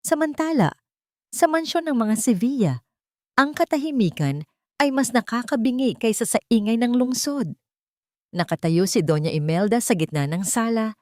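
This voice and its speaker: A woman's voice: fairly high pitch, dark tone, dry recording, studio quality. A woman reading a scripted dramatic story as an audiobook narrator, in a solemn, theatrical manner.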